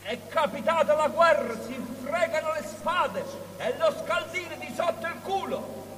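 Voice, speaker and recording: Crazed cursing prophet, super high and slow, echoing from far away with some hum. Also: male voice, expressive, fairly bright tone, heavily acted. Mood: furious. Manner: ranting, declaiming, abusive. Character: raving prophet hurling vulgar insults and curses. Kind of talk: avant-garde theatrical radio drama acting. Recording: distant, long hall reverb, hum.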